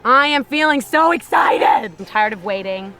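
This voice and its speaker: A woman's voice: fairly high pitch, lively intonation, moderate pace, music in the background. Upbeat, goofy woman in her twenties. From New Jersey. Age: 20s. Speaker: reality show contestant. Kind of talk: cast introduction interview.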